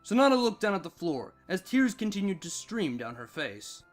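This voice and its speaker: Animated fiction narrator, mid-range voice between male and female, soft music underneath. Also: medium pitch, normal speed. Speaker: narrator, fan fiction reader. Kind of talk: expressive scripted storytelling narration.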